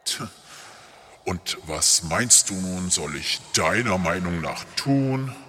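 A deep, echoing God in a comic radio play, grand but touchy and sheepish. The delivery is forceful and animated, sliding from pronouncements into sulky chat, in long reverb. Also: male voice, takes it slowly, full character voice. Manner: booming pronouncements slipping into sulky chattiness. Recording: long reverb, faint noise.

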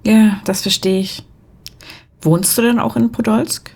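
An actress playing a lonely young Soviet orchestra musician, speaking softly and reflectively in an intimate radio play. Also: female voice, medium pitch, steady tone, a little slow, slightly rough. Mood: friendly.

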